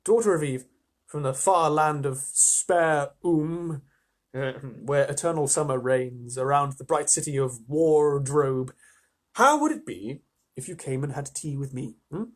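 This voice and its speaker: A man voicing an excitable, courteous fawn with a flustered, effusive, old-fashioned politeness in a storybook reading. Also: a little high, a little slow. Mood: inviting, courteous.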